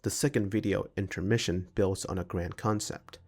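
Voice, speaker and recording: A man's voice: low voice, fairly even intonation, normal speed, creaky, a little mellow, slightly rough. A man reading a scripted analytical video essay in a formal, academic manner. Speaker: video essay narrator and amateur filmmaker.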